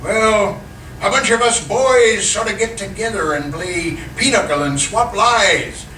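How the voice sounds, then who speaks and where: A man's voice: high-pitched, a little slow, sharp tone, distant mic. An actor playing a cantankerous, folksy night watchman in an old-time radio comedy, grumbling and indignant, recorded live on stage. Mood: sheepish. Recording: echoey live stage, off mic, hiss.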